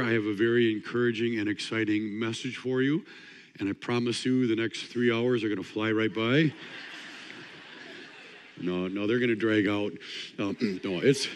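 An older man with a hoarse, partly worn-out voice gives a quick-fire sermon. His voice sits low, and his humor is bone dry. The sound carries a long reverb from a large hall. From Wisconsin. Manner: dry joking delivery. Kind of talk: fast-paced sermon talk.

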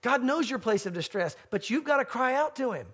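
High-voiced man preaching emphatically. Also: expressive, fairly quick, a bit bright, husky. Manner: emphatic, repeats phrases for stress. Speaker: pastor. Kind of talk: sermon.

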